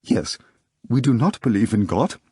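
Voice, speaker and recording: A man's voice: very animated, normal speed, dark tone, slightly rough, no echo, studio quality. A man voicing a learned middle-aged male editor who lectures insistently in a raised voice. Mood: polite. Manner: lecturing, high-pitched and insistent.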